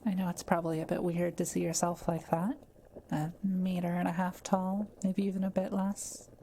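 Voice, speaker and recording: A woman playing a kind forest witch in a roleplay audio drama, speaking softly, carefully and patiently to the listener. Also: lowish voice, flat delivery, normal speed, slight background noise, close to an everyday voice. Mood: caring, attentive. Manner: soft-spoken, careful, patient explaining. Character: kind, quiet-living forest witch, gently reassuring.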